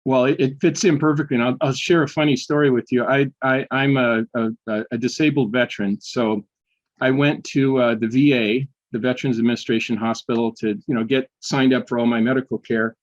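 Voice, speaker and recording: An older man, a history professor, telling personal stories in a casual interview, often restarting sentences and filling pauses. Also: medium pitch, steady tone, highs cut off. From United States. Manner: halting, many restarts and fillers.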